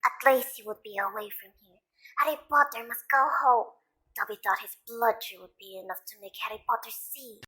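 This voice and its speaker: A woman's voice: on the high side, brisk, sharp tone. A woman playing a small, anxious male house elf in an audio drama, deferential and speaking of himself in the third person. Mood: insistent, earnest. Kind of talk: fan audio drama acting.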